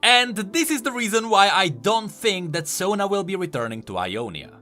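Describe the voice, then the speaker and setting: Bright, animated male lore explainer. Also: sits high, normal speed, faint music behind. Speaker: video creator explaining game lore. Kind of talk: enthusiastic explanatory video commentary.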